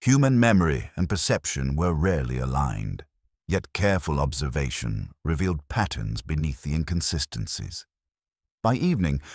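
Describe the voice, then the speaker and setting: Very deep-voiced male mystery narrator. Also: dry recording, studio quality. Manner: measured, formal storytelling. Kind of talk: scripted audiobook-style mystery narration.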